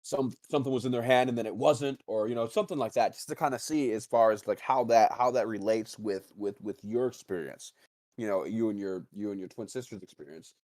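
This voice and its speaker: Low-pitched man, rambling casual talker. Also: a little mellow, dry recording, clean studio sound. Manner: rambling, halting with repetitions. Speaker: talk show panelist. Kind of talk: casual speculative discussion.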